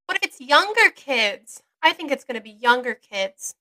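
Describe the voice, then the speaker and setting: A woman's voice: sits high, sing-song, moderate pace, bright, forceful tone, full character voice. A woman playing a frightened little girl from a children's home in an audio drama. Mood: anxious.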